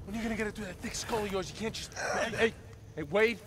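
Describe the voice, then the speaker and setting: An actor playing a cocky, hot-headed, foul-mouthed fighter pilot in a video game drama. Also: male voice, sits high, fairly even intonation, quick pace, heavy background noise, natural voice. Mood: frantic.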